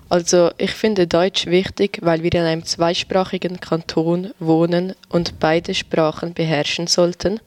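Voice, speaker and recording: A male student giving a steady, recited classroom presentation about learning languages. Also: sits high, no echo. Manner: steady, even, recited delivery. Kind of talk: classroom presentation, read aloud.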